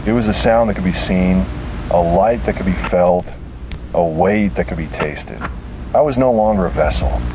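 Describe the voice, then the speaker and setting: A man reading a scripted first-person horror story in a solemn, measured manner with short, deliberate phrases. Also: low-pitched, noisy background. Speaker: narrator.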